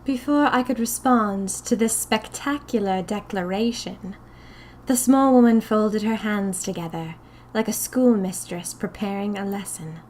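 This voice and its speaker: A woman narrating scripted first-person fiction in a deliberate, dramatic manner. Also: medium pitch, a little mellow, slight background noise.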